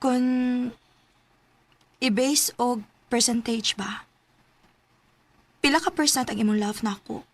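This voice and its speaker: A woman's voice: fairly high pitch, takes it slowly, long pauses. A Cebuano actress playing a devoted, easily hurt young woman in a romantic radio drama, with an emotional, pleading manner. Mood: hesitant, hurt. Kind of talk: Filipino radio drama acting.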